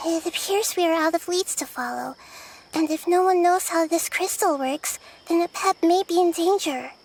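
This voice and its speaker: A gentle young goddess. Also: female voice, extremely high pitch, moderate pace, rough recording. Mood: thoughtful. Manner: soft-spoken, thoughtful and reasoning. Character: youthful goddess of wisdom, gentle and thoughtful. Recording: game audio with music. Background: game music.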